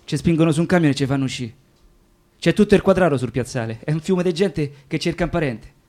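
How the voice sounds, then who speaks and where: A man plays a naive electrician of thirty taken prisoner in a radio drama. He speaks plainly in a lightly high voice with a Roman accent, as if confiding in the listener. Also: quick pace, darkish tone, lightly acted. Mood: anguished, tender. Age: 30s. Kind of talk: radio theatre monologue.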